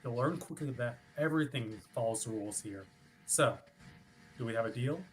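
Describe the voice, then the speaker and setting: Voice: medium pitch, normal speed, slight background noise, close to an everyday voice. An actor in a staged play reading playing a chipper, rule-bound ship officer who is formal, wordy and politely stubborn. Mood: politely insistent. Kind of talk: staged reading of a play over video stream.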